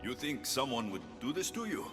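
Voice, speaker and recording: A man's voice: medium pitch, big pitch swings, a little fast, over music, natural voice. A man playing a bold, blunt adventurer in a video game, with an assertive, dry delivery. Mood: dry, inquisitive. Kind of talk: video game cutscene acting.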